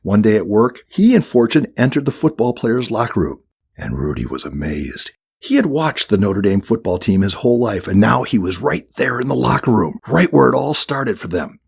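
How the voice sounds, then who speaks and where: Husky man narrating a children's story. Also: on the low side, big pitch swings, normal speed, darkish tone. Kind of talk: scripted children's audiobook narration.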